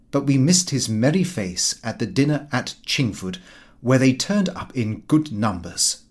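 A man voicing a florid, jovially pompous cycling-club newspaper report within an audiobook, in a measured way. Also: mid-range voice, breathy edge.

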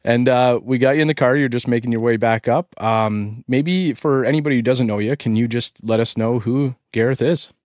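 A man with a Canadian accent hosting a casual interview, relaxed with lots of fillers. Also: mid-range voice, moderate pace, hardly any pauses, dry recording, studio quality. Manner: relaxed, many fillers, upbeat. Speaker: podcast host.

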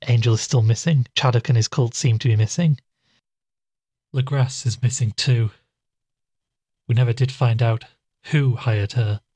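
An actor playing a weary, subdued male investigator, recounting a mystery in a measured, reflective audio drama monologue. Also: mid-range voice, a little mellow, husky, no room sound. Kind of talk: audio drama monologue, recorded-log narration.

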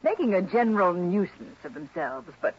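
An actress playing a chatty, warm-hearted, middle-aged wealthy hostess in a 1960s radio drama, with a breathy voice. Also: female voice, lowish voice, very animated, moderate pace. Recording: dull lo-fi 1960s radio broadcast.

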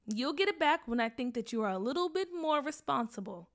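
A stern, protective mother lays down the rules with firm, scolding words, as a woman reads her part. Her voice is slightly high and pointed, sounding both caring and strict as she delivers her lines. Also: lively intonation, moderate pace.

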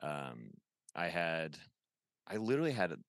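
A man's voice: low-pitched, sing-song, moderate pace, no room sound, clean studio sound. A man in his forties chatting casually as a podcast guest, rambling and enthusiastic, often saying "dude". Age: 40s. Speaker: podcast guest, toy artist. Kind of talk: casual chat.

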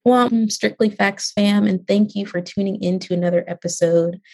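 A woman's voice: mid-range voice, fairly even intonation, moderate pace, creaky, a little mellow. A woman hosting a podcast, delivering a scripted introduction and welcoming a guest. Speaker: podcast host.

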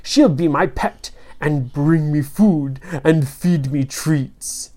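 Tiny evil male sorcerer, played by a woman, low-pitched, drawn-out, pompous, gloating, menacing, children's story villain. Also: warm tone, slight background noise. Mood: gloating menace.

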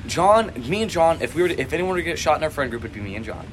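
A man's voice: a little high, big pitch swings, rapid-fire. Rambling young man on a noisy phone recording. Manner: rambling, many restarts and fillers. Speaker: podcast co-host. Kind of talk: casual chat. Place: jazz band competition venue. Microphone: phone speaker.

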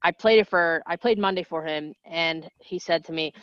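A woman from New Jersey, a singer-songwriter with a low voice, chatting casually as a guest in an interview and rambling through asides. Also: moderate pace, fairly bright tone, no room sound, highs cut off.

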